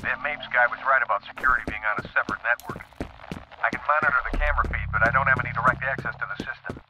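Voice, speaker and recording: An actor playing a brisk, businesslike male special forces coordinator in a video game. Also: medium pitch, music in the background, lightly acted, rough recording. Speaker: team coordinator on comms. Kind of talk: video game radio briefing.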